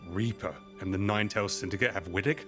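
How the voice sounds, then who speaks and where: An actor playing a grave, loyal leader in a fantasy audio drama, serious and concerned. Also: male voice, fairly low pitch, quick pace, a bit bright, faint music behind, close to an everyday voice.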